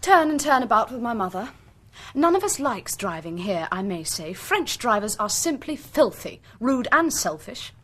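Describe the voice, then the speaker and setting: An actress playing a haughty, indignant young English tourist in a radio drama, clipped and defensive in manner. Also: female voice, on the high side, sing-song, moderate pace, fairly bright tone.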